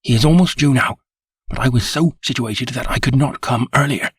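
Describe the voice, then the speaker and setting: A man voicing a nervous, breathless male estate manager who speaks in hurried short sentences. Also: mid-range voice, breathy edge, dry recording, studio quality, voice pushed up, close to an everyday voice. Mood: agitated.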